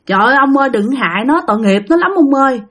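A woman's voice: high voice, animated, fast, a bit bright. Woman playing a desperate pleading grandmother. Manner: pleading, tearful. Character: frightened grandmother begging for her daughter.

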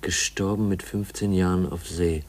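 A man's voice: low voice, steady tone, moderate pace, a little mellow. An actor playing an earnest, bitterly disillusioned young man in a 1950s German radio drama. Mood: grave. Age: young adult. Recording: 1950s radio recording, faint noise, clicks.

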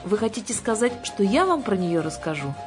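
Женщина изображает жалобную девочку с высоким голосом. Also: female voice, big pitch swings, brisk, over music, close to an everyday voice. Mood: frightened. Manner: plaintive, earnest, high-strung. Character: timid, emotional young girl pleading for her lost puppy.

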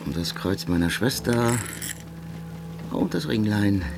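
An actor playing a doomed soldier of thirty in a radio drama, resigned, halting and terse. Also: male voice, lowish voice, lively intonation, gravelly, background noise, full character voice. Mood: resigned, solemn.

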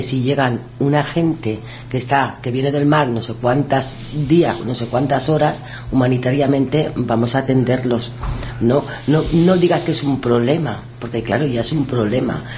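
A woman's voice: extremely low pitch, a little hiss or hum. Woman co-host recounting, affirming often. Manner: animated storytelling, many affirmations. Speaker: radio co-host. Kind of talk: casual radio discussion.

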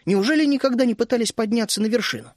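A man voicing a quiet, earnest young hero in a storybook. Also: very high-pitched, fast, no room sound, studio quality, pitched above the performer's own voice.

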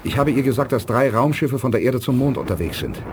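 An actor playing a firm, stern astronaut commander in a 1980s German radio drama, speaking insistently. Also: male voice, medium pitch, animated, brisk, slight background noise, lightly acted. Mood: urgent, insistent.

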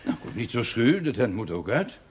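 A man's voice: medium pitch, very animated, brisk, natural voice. An actor playing a brusque village doctor in a television period drama, dry and teasing with his patient. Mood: brusque, businesslike. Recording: faintly noisy old TV soundtrack.

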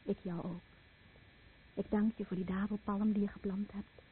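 An actress playing a devoted fisherman's wife in a radio play, husky, solemn and tender. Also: mid-range voice, little pitch movement, moderate pace, a little hiss or hum, lightly acted. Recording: phone-like old radio recording.

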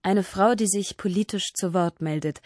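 A woman's voice: medium pitch, normal speed, a little mellow, dry recording, studio quality. A woman reading scripted narration for a radio feature in a composed, orderly way.

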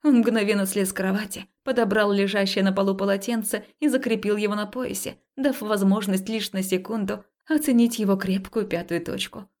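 A woman narrating a first-person romance story as an audiobook, expressive and confessional, acting out the dialogue. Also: mid-range voice, brisk, few pauses.

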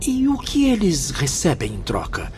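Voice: medium pitch, wildly swooping pitch, normal speed, darkish tone, slight background noise. An actor playing a curious, respectful male newcomer spirit in a radio drama, with a formal, questioning manner. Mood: curious, earnest. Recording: music behind the voice.

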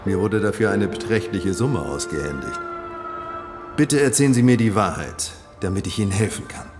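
An actor playing a skeptical, exasperated defence lawyer, formal and insistent, in a German radio drama. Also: male voice, fairly low pitch, lively intonation, normal speed, music in the background, close to an everyday voice.

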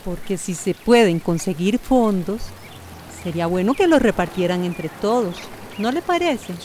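A woman's voice: mid-range voice, lively intonation, unhurried, a little mellow, slight background noise, close to an everyday voice. A woman acting in a radio soap as a humble, insistent villager petitioning officials. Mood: pleading, insistent.

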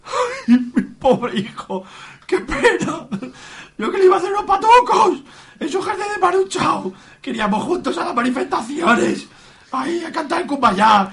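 A man's voice: extremely high pitch, big pitch swings, moderate pace, creaky, a bit bright, full character voice. A man playing a sentimental, oversensitive young hippie with an Aragonese accent, whiny and overwrought, in an amateur comic radio soap. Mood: weeping.

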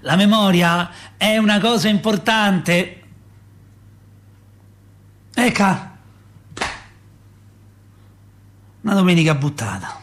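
A man's voice: high-pitched, expressive, slow pace, pauses often, a bit bright, full character voice. An actor playing an emotional, nostalgic Roman father in a theatrical radio monologue, colloquial and intimate, with a Roman accent. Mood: wistful, emphatic. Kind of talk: theatrical radio monologue, reciting verse and memories.

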